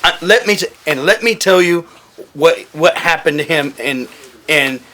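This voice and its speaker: A man telling casual war stories as a military veteran, animated and swearing freely, acting out quoted dialogue. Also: on the high side, moderate pace. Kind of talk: casual storytelling, profane.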